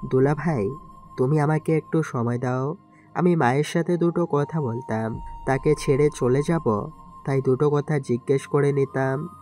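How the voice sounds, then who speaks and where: A man's voice: mid-range voice, unhurried, dark tone, soft background music, close to an everyday voice. Man acting a plaintive young outcast son. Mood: pleading. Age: young adult. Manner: earnest, plaintive. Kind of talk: dramatized audio story acting.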